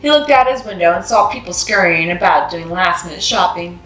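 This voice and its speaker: A woman's voice: fairly low pitch, animated, a little fast, a bit bright. Woman reading a children's story, roomy sound. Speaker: read-aloud host. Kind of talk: expressive children's story narration. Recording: roomy echo, music behind.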